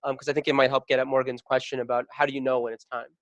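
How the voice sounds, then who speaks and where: Fast-talking male webinar host. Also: mid-range voice, little pitch movement, fairly bright tone, no room sound, highs cut off. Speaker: panel host. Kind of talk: moderating a webinar, casual.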